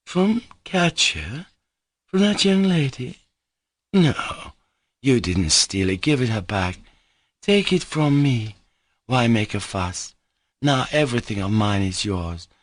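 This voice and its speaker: A man voicing an emotional, drunken young woman who pleads in a halting, breathless way. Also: mid-range voice, sing-song, no room sound, clean studio sound, pitched above the performer's own voice. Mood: impassioned.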